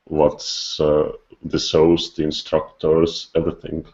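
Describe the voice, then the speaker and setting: A man hosting a casual podcast interview, asking questions in a halting, deliberate manner. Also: a bass voice, completely flat delivery, a little mellow, muffled recording. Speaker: podcast host.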